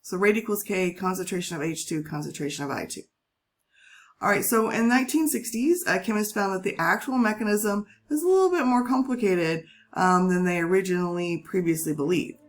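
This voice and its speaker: A woman teaching a chemistry lesson, a little hoarse from a cold, talking students patiently through the material step by step. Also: medium pitch, animated, a little fast. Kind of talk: recorded classroom lesson.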